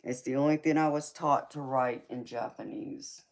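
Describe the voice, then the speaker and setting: A woman's voice: rumbling low, moderate pace, gravelly, a little mellow. A young woman with a Southern accent, hosting a casual livestream, chatting with viewers, laughing often and answering their comments. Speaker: tarot reader and livestream host.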